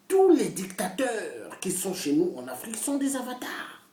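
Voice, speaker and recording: A woman delivering an impassioned political rant, fiery and repetitive, swinging from loud outbursts to hushed whispers. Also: medium pitch. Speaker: political activist. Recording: roomy echo, voice far from the mic.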